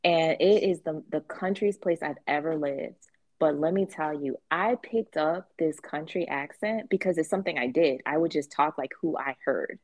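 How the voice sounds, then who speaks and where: West indian-born woman host, mostly american accent w/ a bit of caribbean, low-ish voice, dry close mic, tells stories loud and frank. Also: normal speed, no room sound. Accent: American with faint Caribbean traces. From West Indies. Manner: candid, animated storytelling with acted quotes and swearing. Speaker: podcast host. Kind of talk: casual chat.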